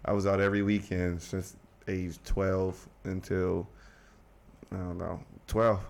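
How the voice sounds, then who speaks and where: A man's voice: low-pitched, little pitch movement, a little slow, gravelly, darkish tone. A young man from the Washington DC area, talking casually as a guest and rambling with many restarts. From United States, Washington DC area. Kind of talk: casual conversation.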